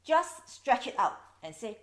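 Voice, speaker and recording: A woman in her forties from Singapore with a Singaporean English accent, explaining things in a measured, wry vlog. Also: a little high, big pitch swings, fairly bright tone. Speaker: video host. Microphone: camera's built-in mic.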